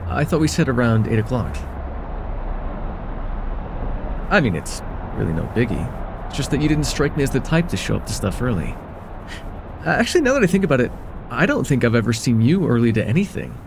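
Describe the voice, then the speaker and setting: A man acts out a young male student with a crush, affectionate and teasing in a slice-of-life ASMR roleplay. His delivery swings expressively in pitch and has a creaky edge, with casual, hesitant phrasing over faint background noise. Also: sing-song, quick pace. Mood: teasing, welcoming. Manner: casual, soft-hearted, hesitant, chuckling banter. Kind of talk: slice-of-life ASMR roleplay, intimate one-sided dialogue.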